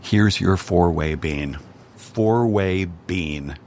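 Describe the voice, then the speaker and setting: Man, very deep voice, dry self-deprecating humor, casual chat, faint background noise. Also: drawn-out words, a little mellow. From Cincinnati, Ohio. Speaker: guest.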